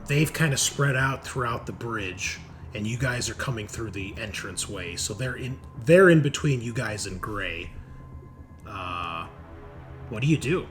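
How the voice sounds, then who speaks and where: A man improvising narration as game master of a tabletop game, often halting to search for words. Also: on the low side, lively intonation, a bit bright, faint music behind. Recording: noisy room recording.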